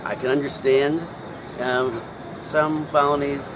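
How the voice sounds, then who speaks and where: A middle-aged man explaining things casually to viewers, pausing often with ums and uhs. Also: mid-range voice, level tone. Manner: hesitant, many ums and uhs. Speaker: vlogger answering viewer questions. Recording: loud outdoor traffic noise.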